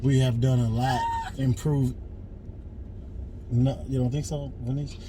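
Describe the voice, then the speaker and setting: A man's voice: mid-range voice, little pitch movement, a little slow, dark tone, slight background noise. A man in his forties, a podcast host who jokes around in a laid-back group chat. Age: 40s. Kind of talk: casual chat.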